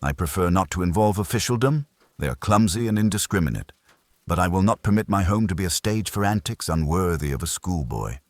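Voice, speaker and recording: A man playing an imperious older detective in an audiobook mystery, speaking in a measured, formal, pompous way. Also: low-pitched, expressive, no echo, voice pushed up. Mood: imperious, cold.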